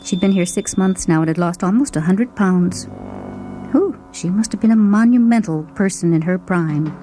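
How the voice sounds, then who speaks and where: A woman narrating a first-person short story in a dramatic, expressive, theatrical manner. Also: fairly low pitch, normal speed, a little hiss or hum, low-quality recording. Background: music.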